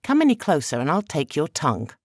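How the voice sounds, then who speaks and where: A man's voice: a little high, wildly swooping pitch, fast talker, dry recording, studio quality, voice pushed up, heavily acted. A man doing a fierce warrior mother. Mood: wary. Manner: firm, warning. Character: fierce female warrior spirit, mother, wary.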